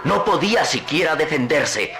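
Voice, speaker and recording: Suspenseful male radio narrator, mid pitch, heavy music bed. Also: animated, moderate pace. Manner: dramatic, suspenseful. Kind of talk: scripted radio drama narration.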